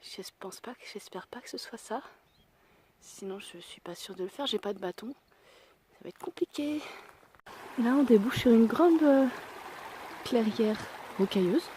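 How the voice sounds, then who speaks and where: Hoarse young woman vlogging on a hike. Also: a little high, expressive, normal speed, pauses often, mellow, slight background noise. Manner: chatty and self-deprecating, thinking aloud. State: hoarse voice from a cold; out of breath. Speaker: hiker vlogging a mountain trail. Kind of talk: casual spoken travel vlog. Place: outdoors on a mountain trail.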